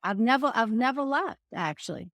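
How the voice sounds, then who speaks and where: Chatty New Orleans woman, fairly high. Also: animated, normal speed, a bit bright, no room sound. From New Orleans, Louisiana. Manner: folksy, chatty storyteller. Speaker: guest, restaurant owner. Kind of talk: casual interview chat.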